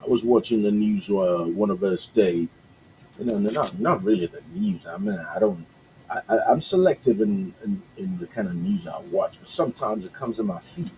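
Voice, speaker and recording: A man's voice: fairly low pitch, expressive, moderate pace, darkish tone, slight background noise, rough recording. A male radio host whose first language is Yoruba, chatting casually on a morning show and rambling with lots of fillers and restarts. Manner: rambling, many fillers and restarts, upbeat asides.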